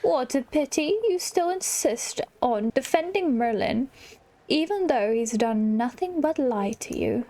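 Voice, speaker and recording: Woman voicing a slow, condescending villainess, high-pitched. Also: expressive, warm tone. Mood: haughty, scornful. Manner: theatrical, condescending, coaxing. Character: manipulative, theatrical villainess coaxing a young protégée.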